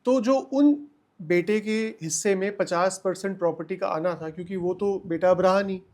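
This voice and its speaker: A man's voice: sits high, normal speed, darkish tone. A man giving explanatory answers as a legal expert on a podcast, emphatically repeating key points and mixing in English terms.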